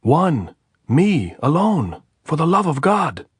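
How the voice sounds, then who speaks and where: A man voicing a desperate young male guerrilla who shouts and pleads up at a guarded trench. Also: fairly high pitch, very animated, slow, husky, no echo, clean studio sound, voice pushed up, heavily acted. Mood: frantic shouting.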